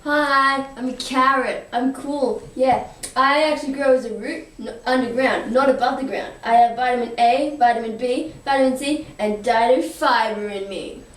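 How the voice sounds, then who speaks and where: A woman's voice: high voice, normal speed. A young female student performing lines in an amateur school puppet show, in her everyday voice. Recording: roomy, noisy, distant amateur recording.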